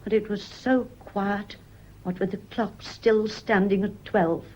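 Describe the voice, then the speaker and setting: A woman's voice: mid-range voice, fairly even intonation, slow pace, darkish tone. An old maidservant in a radio drama, heard on a slightly dull recording. She is deferential and mournful, lingering wistfully over her words. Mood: wistful, pointed. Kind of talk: radio play acting.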